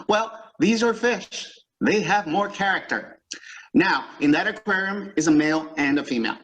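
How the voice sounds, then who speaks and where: A man's voice: high-pitched, normal speed, fairly bright tone, low-quality recording. An actor playing a fussy, short-tempered male store supervisor in an old-time radio comedy. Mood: fussy, businesslike.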